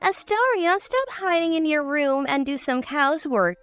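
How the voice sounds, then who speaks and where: Woman acting a high-pitched, nagging mother-in-law. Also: animated, moderate pace, faint music behind. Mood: scolding. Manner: sharp, accusing complaints.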